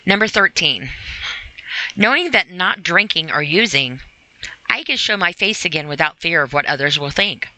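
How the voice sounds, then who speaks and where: A woman's voice: fairly low pitch, animated, moderate pace, sharp tone, no echo, low-quality recording. A woman reading aloud and adding informal motivational commentary in an earnest, conversational way, often speaking straight to the listener. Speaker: podcast host reading and commenting on a sobriety e-book.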